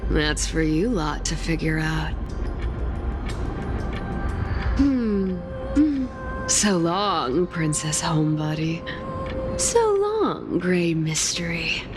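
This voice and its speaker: A woman's voice: deep voice, very animated, slow pace, exaggerated voice. A woman playing a sly, flippant young female thief in a video game, with a teasing, breezy, dramatic manner. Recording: background music.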